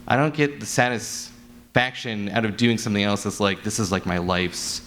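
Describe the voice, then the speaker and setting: Earnest man with a lower voice. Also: lively intonation, normal speed, a bit bright, a little hiss or hum. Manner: earnest, rambling, repeats words for emphasis. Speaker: audio drama creator and panelist. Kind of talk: unscripted panel talk. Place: live convention panel. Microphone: wired panel microphone.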